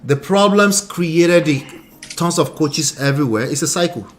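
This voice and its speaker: Brief: middle-aged Nigerian-American-accented man, somewhat high voice, laughy relationship coach. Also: normal speed. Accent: Nigerian, mixed with American. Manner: animated, laughs often, repeats words. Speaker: relationship coach and show host. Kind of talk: casual podcast chat.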